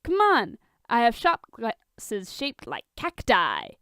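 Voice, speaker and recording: A woman's voice: on the high side, huge pitch swings, slow pace, sharp tone, clear, resonant tone, no room sound, studio quality, full character voice. A woman voicing a playful, teasing billionaire man with a sarcastic, goading way of talking, in a fan fiction reading. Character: playful, teasing, hard-partying billionaire man, smirking wisecracker.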